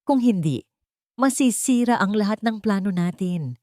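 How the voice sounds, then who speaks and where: A woman's voice: mid-range voice, expressive, unhurried, warm tone, dry recording, clean studio sound, natural voice. Terse scheming husband voiced by a woman. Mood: tense warning. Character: secretive scheming husband, controlling, warning accomplice. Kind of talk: audiobook character dialogue.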